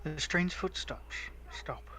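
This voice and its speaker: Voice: mid-range voice, slow pace, pushed and bright. A giggly, playful game streamer who switches between casual chat and dramatic read-aloud narration, with game music behind. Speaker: streamer reading a visual novel.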